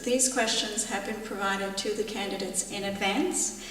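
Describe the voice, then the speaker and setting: A woman moderating a debate, speaking plainly and haltingly with frequent fillers. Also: medium pitch, a bit bright. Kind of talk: hosting a debate. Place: large events hall. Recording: echoey hall, distant mic, faint noise.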